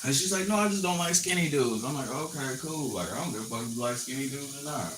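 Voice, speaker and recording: A man's voice: mid-range voice, animated, quick pace. Blunt man ranting over running water. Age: 40s. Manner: blunt, swearing, storytelling rant. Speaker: livestreamer. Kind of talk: casual live stream chat to viewers. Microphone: phone. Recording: loud background noise with running water.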